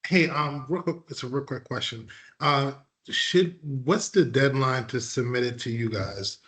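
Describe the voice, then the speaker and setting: Man, meeting participant, casual questioning, middle pitch, work call. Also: unhurried, fairly bright tone. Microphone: online conference call.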